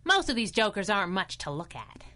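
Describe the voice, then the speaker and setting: An actress playing a brash, wisecracking heroine in a radio drama, wry, clipped and slangy. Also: female voice, mid-range voice, big pitch swings, fairly quick, bright, forceful tone. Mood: sardonic. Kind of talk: old-time radio drama acting.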